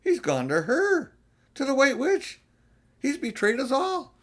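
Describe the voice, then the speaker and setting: A man's voice: extremely high pitch, wildly swooping pitch, unhurried, a bit bright, voice pushed up, exaggerated voice. A man voicing a grave, worldly-wise male beaver from a storybook, speaking in an emphatic, warning way. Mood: urgent, grim. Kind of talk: storybook character voice in an audiobook.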